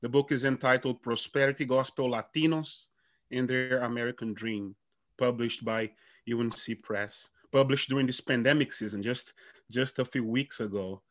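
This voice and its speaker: A man hosting a podcast interview who asks questions warmly and repeats words as he restarts his sentences. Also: medium pitch, fairly even intonation, normal speed. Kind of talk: conversational interview.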